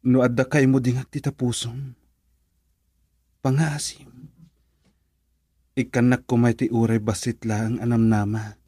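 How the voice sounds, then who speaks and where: A man's voice: mid-range voice, little pitch movement, a little slow, pauses often, mellow, breathy edge, no room sound, studio quality. A male actor with a Filipino accent playing an earnest young man in love, hesitant and pleading, in a romantic radio drama. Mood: pleading, worried.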